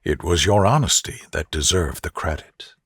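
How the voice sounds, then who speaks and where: A man voicing a witty, tender young gentlewoman in love in a soft, slow bedtime storybook. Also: low-pitched, expressive, breathy edge, no echo, clean studio sound, heavily acted. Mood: gently teasing. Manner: soft, playful, slow and deliberate. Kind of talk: soothing bedtime storybook dialogue.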